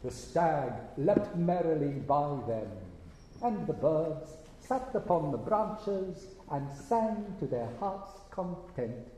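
Slow male storyteller in an echoey hall. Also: a little high, expressive, mellow. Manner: measured, deliberate phrasing with pauses. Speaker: storyteller narrating a stage performance. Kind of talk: fairy tale storytelling for a eurythmy stage show. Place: large indoor stage hall with an audience. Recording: very echoey hall, distant, faint noise.

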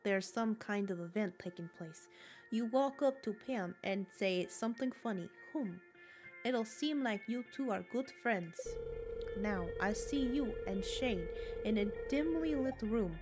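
A woman's voice: on the high side, expressive, a little slow, darkish tone, soft background music. A woman doing a mystical old fortune teller with a Russian accent.

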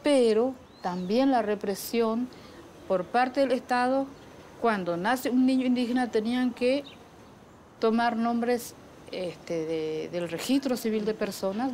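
A middle-aged woman with a soft-edged, mellow voice shares memories in conversation. She searches for her words, with faint birds and open air behind her. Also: a little high, a little hiss or hum. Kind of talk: conversational personal recollection. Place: leafy patio, outdoors.

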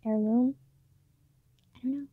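A teenage woman talking softly late at night in her bedroom, halting with many fillers, recorded on a built-in mic. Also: fairly high pitch, steady tone, dry recording. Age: late teens. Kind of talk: late-night personal vlog. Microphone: phone or camera built-in mic, close. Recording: dull lo-fi built-in mic, dry.

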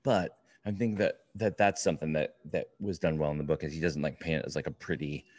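A middle-aged man co-hosting a casual book review podcast, talking in a rambling, halting way with plenty of swearing. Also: very deep voice, steady tone, darkish tone, no echo. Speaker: podcast co-host. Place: indoor room, remote video call.

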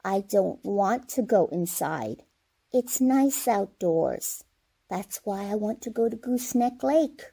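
Casting: actress as crotchety grandma, husky and gravelly, blunt, for radio comedy. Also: female voice, mid-range voice, animated, takes it slowly, dark tone, exaggerated voice. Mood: cranky. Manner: querulous, blunt. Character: stubborn, crotchety, wistful old grandmother. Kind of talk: old-time radio comedy acting.

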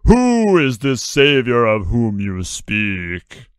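A wrathful father of gods, played by a man telling a story, booming and grandiose. He pronounces each phrase slowly, as in an epic myth. The sound is dry. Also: huge pitch swings, clean tone, no echo. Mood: incredulous.

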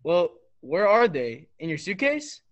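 A young man playing a breezy, hurried younger brother in a radio play. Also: high voice, big pitch swings. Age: young adult.

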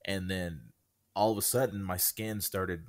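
A man's voice: sits low, fairly even intonation, a little slow. A man in his thirties co-hosting a casual podcast, telling stories in a wry way. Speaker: podcast co-host. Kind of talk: casual chat.

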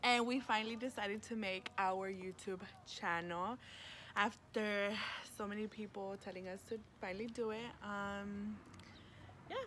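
A woman in her twenties co-hosts a casual vlog in a mid-pitched voice. She talks in slang with swearing and drops in Spanish. The outdoor recording has clear ambient noise. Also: fairly even intonation, unhurried, a bit bright. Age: 20s. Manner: slangy, profane, slips into Spanish. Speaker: vlog co-host. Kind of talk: casual chat answering questions. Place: outdoors by a parking area.

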